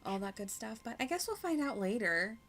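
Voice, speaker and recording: A woman's voice: fairly high pitch, very animated, fairly quick, fairly bright tone, slight background noise. A woman streaming a game with casual, slangy commentary, rambling as she reacts aloud.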